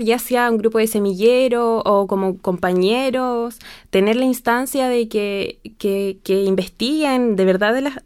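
Young female student guest, southern Chilean accent, clean smooth tone, dry close sound, casual rambling answers. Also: fairly high pitch, no room sound. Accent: southern Chilean Spanish. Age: young adult. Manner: informal, rambling, repeats phrases. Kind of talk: casual spoken interview.

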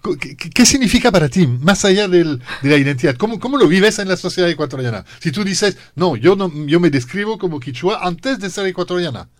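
Radio host man with a slightly raised pitch. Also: expressive, brisk, few pauses, no room sound, studio quality. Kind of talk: hosting a talk programme.

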